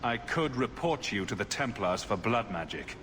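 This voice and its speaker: An actor playing a wry, hard-nosed adventurer hero with a cool, dry delivery in a video game. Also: male voice, lowish voice, expressive, normal speed, reverberant, background noise, close to an everyday voice. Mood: dry, probing.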